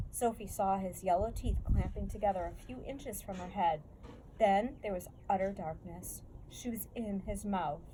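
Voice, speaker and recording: A woman's voice: mid-range voice, noisy background. A woman narrating a children's story expressively from a book, as a read-aloud. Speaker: storybook reader.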